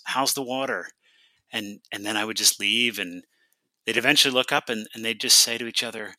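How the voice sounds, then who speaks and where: A man leaving a casual voice message for a podcast, reflective and halting, often repeating his phrases. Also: lowish voice, sharp tone, dry recording, rough recording. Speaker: podcast call-in listener.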